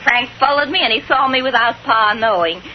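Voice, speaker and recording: An actress playing a frank, excitable young American bride with a folksy, earnest manner, in 1930s radio drama style. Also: female voice, on the high side, brisk, lightly acted. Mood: earnest storytelling. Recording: muffled, noisy 1930s radio recording.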